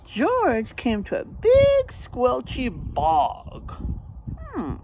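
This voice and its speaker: A woman reading a picture book aloud to young children in an expressive, playful manner, with drawn-out emphasis and chatty asides. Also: fairly high pitch, noisy background. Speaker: read-aloud storyteller.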